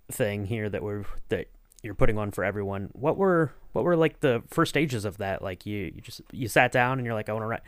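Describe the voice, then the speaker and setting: A man's voice: on the low side, dry recording. Casual male podcast interviewer, very dry sound.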